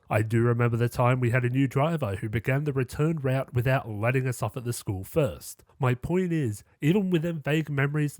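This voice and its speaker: A man's voice: medium pitch, darkish tone, dry recording. A man in his twenties with a cold that makes him a little nasal, reading a scripted, reflective analysis of an anime as host of his channel. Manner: measured, reflective explaining. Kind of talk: scripted video-essay narration.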